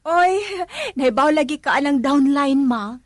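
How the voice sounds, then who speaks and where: A high-voiced, wheedling Cebuano wife. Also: female voice, a little slow, no echo, studio quality. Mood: defensive. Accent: Cebuano Filipino. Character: breezy, coaxing young wife selling beauty products. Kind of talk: Cebuano radio drama acting.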